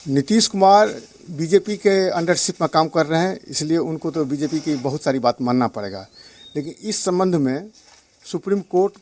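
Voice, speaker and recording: A man's voice: a little high, animated, normal speed, a little mellow, a little hiss or hum. A man giving his opinion in a spoken news soundbite. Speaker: political commentator giving soundbite.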